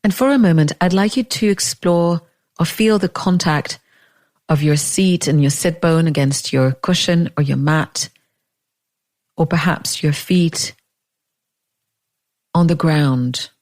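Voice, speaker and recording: Slow, lowish-voiced woman guiding meditation. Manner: gentle, unhurried, with long pauses. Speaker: meditation guide. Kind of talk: guided meditation.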